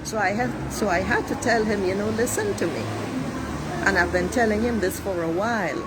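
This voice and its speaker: A woman's voice: medium pitch, normal speed. A middle-aged woman from Trinidad with a Trinidadian accent, giving a blunt, preachy monologue to her viewers and swearing freely. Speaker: life coach livestreaming. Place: outdoors near traffic. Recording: street traffic noise behind. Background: passing cars, a siren.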